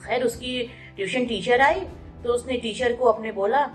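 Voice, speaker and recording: A high-pitched woman tells a moral story in an easy, conversational manner, pausing and filling gaps as she goes. She is a motivational speaker, and soft music plays faintly behind her. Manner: conversational, mixes English words into Hindi, frequent hesitations.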